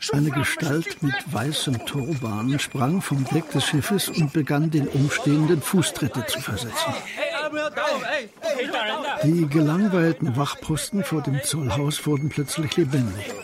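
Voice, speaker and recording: A man narrating a historical crime radio play in a measured, literary style, as a sober storyteller. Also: on the high side, a loud crowd, close to an everyday voice. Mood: sober, suspenseful.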